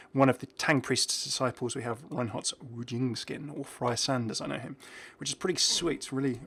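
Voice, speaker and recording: A man's voice: medium pitch, fairly bright tone, breathy edge. A man giving casual, enthusiastic game commentary in an informal, chuckly way. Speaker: video creator presenting game content.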